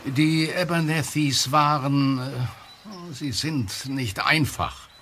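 An actor in a German audio drama playing a gruff, evasive middle-aged uncle, curt and hesitant when pressed. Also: male voice, medium pitch, takes it slowly, sharp tone, slight background noise. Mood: reluctant, weary.

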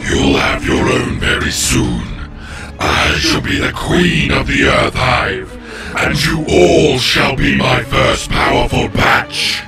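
A slow, gravelly alien insect queen, voiced by a man, cold and domineering, heard in a roomy, echoing space with loud music behind. The voice sounds set back from the mic. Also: mid-range voice, very animated, distant mic, exaggerated voice. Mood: menacing.

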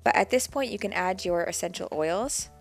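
A woman's voice: medium pitch, lively intonation, normal speed, creaky, soft background music. A woman in her twenties giving a plain, practical step-by-step voice-over for a homemade cleaning recipe. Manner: plain, practical, walks through each step. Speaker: DIY homesteading vlogger.

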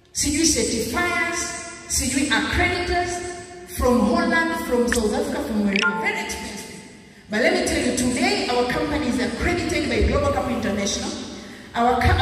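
A middle-aged woman with a Tanzanian accent, giving an animated public lecture on a handheld mic. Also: a little high. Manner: animated, gestures while walking the stage. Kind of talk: public lecture mixing Swahili and English. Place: large hall with stage. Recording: echoey hall recorded from far off.

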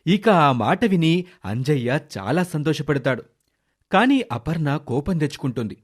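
A man's voice: a little high, very animated, measured pace. A man narrating a scripted moral story as its storyteller.

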